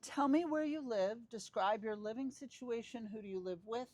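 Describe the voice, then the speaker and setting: A woman's voice: a little high, expressive, normal speed, a little mellow, dry recording. A woman interviewing a neighbor, asking short questions and giving brief, encouraging acknowledgments.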